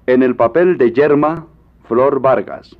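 A man's voice: mid-range voice, slow pace. A man making a formal scripted announcement as an old-time radio announcer. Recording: tinny old radio archive recording.

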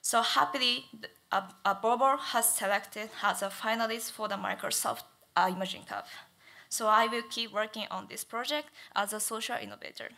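A young woman who is not a native English speaker, giving a thesis presentation at a lectern with a careful, halting delivery and frequent fillers. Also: fairly high pitch, vocal fry, bright, forceful tone. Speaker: student presenter. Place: auditorium stage at lectern. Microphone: lectern mic.

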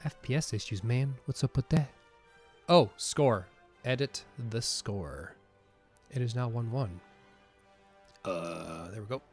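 A man casting a tournament match of a video game, with excited play-by-play and casual asides, sniffling from a cold. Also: medium pitch, slow pace, pauses often, soft background music. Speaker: esports caster. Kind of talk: game commentary.

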